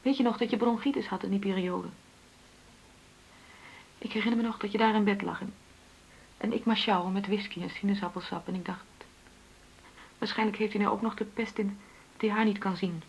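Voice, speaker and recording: A middle-aged wife speaks with a husky, unpolished voice at an ordinary pitch. She is hurt and reproachful, pressing her points insistently in a radio drama argument. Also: steady tone, a little fast, pauses often, poor audio. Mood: bitter confession. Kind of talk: Dutch radio play acting.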